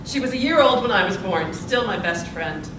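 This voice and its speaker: A woman's voice: medium pitch, animated, moderate pace, natural voice. A woman playing an eighty-six-year-old Montana suffragist in a live theatre piece, grateful and principled, speaking with earnest deliberation. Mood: reminiscing, grateful. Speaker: actor in a theatre presentation. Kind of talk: theatrical interview and monologue, live stage performance. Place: indoor presentation space at a public library. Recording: echoey, distant, noisy library event recording.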